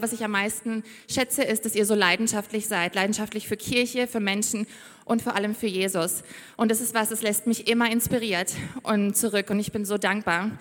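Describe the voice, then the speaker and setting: An enthusiastic young woman from Siberia preaches from a church stage into a microphone, warmly effusive and heartfelt. Her voice sits mid-range for a woman. A long reverb from the hall carries every phrase. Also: mid-range voice. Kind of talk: sermon with Bible reading. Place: church stage before a congregation.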